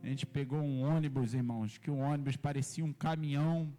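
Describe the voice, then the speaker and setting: A man preaching a sermon, telling stories and imitating sounds, rising to loud emphasis. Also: mid-range voice, steady tone, fairly quick, big-room sound, faint music behind. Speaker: pastor. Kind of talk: sermon with storytelling. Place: church hall.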